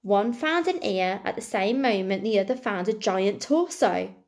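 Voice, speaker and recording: A woman reading a storybook to children expressively, like a primary school teacher, with deliberate dramatic pauses and emphasis. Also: medium pitch, expressive.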